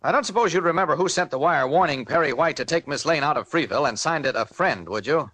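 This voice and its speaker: An actor playing an earnest, persistent newspaper reporter in a radio serial, probing and skeptical. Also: male voice, fairly high pitch, animated, fast talker, fairly bright tone, no room sound. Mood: probing, suspicious. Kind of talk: 1940s radio serial acting. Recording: old radio recording, faint noise, clicks.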